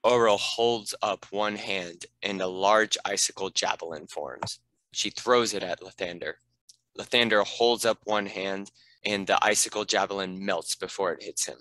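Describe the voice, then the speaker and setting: A man's voice: on the low side, fairly even intonation, measured pace, sharp tone, no room sound, low-quality recording. A man reading scripted narration and stage directions for a fantasy script read.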